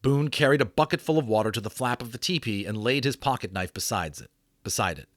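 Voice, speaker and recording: Expressive male storyteller, dry close sound. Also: medium pitch, quick pace, no room sound. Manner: expressive, varied delivery. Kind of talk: dramatic audiobook reading.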